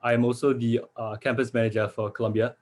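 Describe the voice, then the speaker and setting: Young guy from singapore running the slides, friendly, normal pitch. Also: flat delivery, fast, a little mellow. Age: young adult. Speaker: student presenter. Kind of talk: webinar presentation. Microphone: video call.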